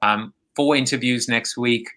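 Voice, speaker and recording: A man's voice: mid-range voice, fairly even intonation, unhurried, pushed and bright. A man with a South African accent hosting a live online interview show from home, talking in a rambling way with many restarts and fillers. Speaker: host. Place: home, remote video call.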